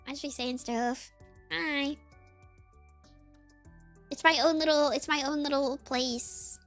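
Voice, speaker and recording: A woman's voice: sits high, fairly even intonation, slow, a bit bright, soft background music. A woman streamer chatting casually in a rambling, giggly way, full of fillers. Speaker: VTuber streamer.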